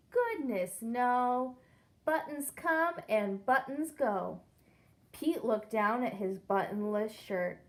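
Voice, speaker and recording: Young female teacher, unhurried children's read-aloud, slightly high voice, counts and quizzes the kids. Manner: asks the children questions, counts along.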